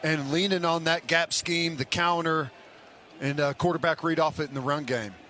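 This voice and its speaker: A man's voice: a little high, steady tone, moderate pace, bright, forceful tone, a little hiss or hum. A man giving conversational football analysis on a radio broadcast, explaining plays in short, loose phrases. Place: stadium broadcast booth.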